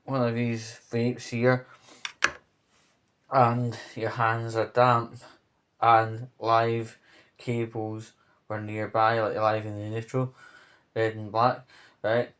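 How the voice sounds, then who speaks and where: A man giving off-the-cuff spoken commentary on local news, rambling, pausing mid-phrase and checking in with the listener. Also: mid-range voice, level tone, a bit bright.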